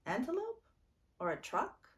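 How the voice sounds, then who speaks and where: A woman's voice: sits high, wildly swooping pitch, unhurried, far from the mic, voice pushed up, full character voice. A woman voicing a tired little girl who whines and pleads in a children's story. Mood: whiny, curious. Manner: whining, pleading. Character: Akir, tired whiny little girl, younger sister.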